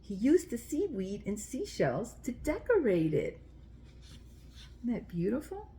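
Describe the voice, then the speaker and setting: A woman's voice: on the high side, wildly swooping pitch, unhurried, mellow, a little hiss or hum. A woman hosting a children's story time, reading aloud and speaking directly to young listeners in simple, explanatory language.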